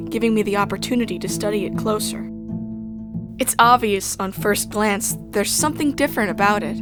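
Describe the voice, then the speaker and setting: A woman's voice: medium pitch, natural voice. Woman as earnest narrator heroine over music. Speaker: narrator and player character. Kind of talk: scripted first-person narration with dialogue. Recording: music behind the voice.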